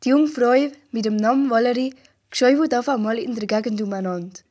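A woman's voice: fairly high pitch, fairly quick, warm tone. A female narrator with a Valais Swiss German accent telling a scripted story in an amateur radio play.

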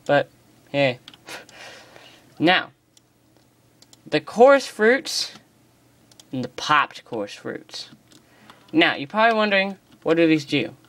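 An excitable host chats through a gameplay tutorial and pokes fun at their own stumbles. The voice sits at a middle pitch, and keyboard typing clicks in now and then. The sound is picked up by a phone pointed at a computer screen. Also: slow pace, long pauses, dry recording. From United States. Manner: chatty, excitable, self-deprecating. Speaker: gaming channel host. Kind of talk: casual game commentary tutorial. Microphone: phone filming a screen.

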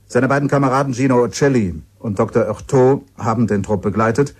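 A man playing a grave radio reporter in a radio play, speaking in a measured, solemn way with a husky voice. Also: mid-range voice, darkish tone, natural voice.

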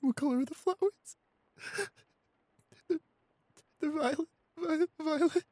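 A man playing a shy, anxious, apologetic young boyfriend in an audio roleplay, halting and repeating himself in a breathy, husky voice. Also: very high voice, a little mellow, no echo. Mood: shaky, halting. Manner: halting, stammering, repeating pleas. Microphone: close studio microphone, dry.

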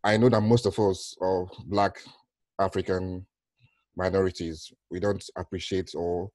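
A man's voice: deep voice, level tone, a little slow, a little mellow, no room sound. A middle-aged man with a Nigerian accent, answering interview questions conversationally and often rephrasing himself as he goes. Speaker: counsellor, interview guest. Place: small room at home, on a video call. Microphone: headset.

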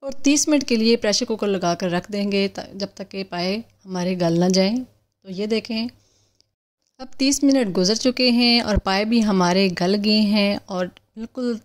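A woman hosting a cooking channel, calmly talking through a recipe step by step in a voice-over. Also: medium pitch, measured pace. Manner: calm step-by-step instructions.

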